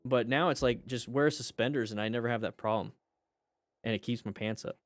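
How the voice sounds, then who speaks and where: A man hosting a livestream, chatting casually with viewers in a blunt, joking, animated manner. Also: medium pitch, fairly quick, soft background music. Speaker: livestream host; firefighter.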